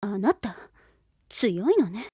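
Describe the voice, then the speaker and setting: A woman's voice: medium pitch, big pitch swings, slow, a little mellow, husky, no echo, clean studio sound, full character voice. A woman voicing an elegant, teasing teenage girl hero in an anime game, speaking in a languid, refined feminine way.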